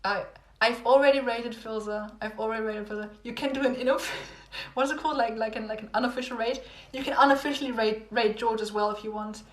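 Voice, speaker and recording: A young woman chatting casually with livestream viewers, rambling and repeating herself with little laughs, her voice going hoarse. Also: a little high, fairly even intonation, fairly quick, hardly any pauses. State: losing her voice. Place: home room. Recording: echoey room, phone livestream.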